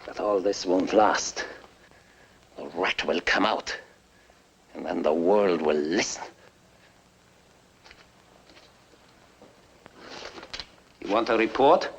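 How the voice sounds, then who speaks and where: An actor playing a proud, bitter fugitive scientist with a scornful, theatrical manner, in the style of a 1950s film. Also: male voice, low voice, a little slow, gravelly, long pauses, slightly rough, heavily acted. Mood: bitter, brooding. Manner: theatrical, scornful, self-important. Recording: noisy old 1950s film soundtrack.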